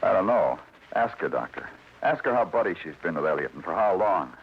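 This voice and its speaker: An actor playing a sardonic, relentless police detective with a terse, needling manner in a radio noir drama. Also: male voice, low voice, sing-song, fairly quick, vocal fry, breathy edge, heavily acted. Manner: terse, needling, pressing questions. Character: hard-boiled New York police detective, sardonic and relentless. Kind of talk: 1950s radio noir acting. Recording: muffled, hissy old radio broadcast.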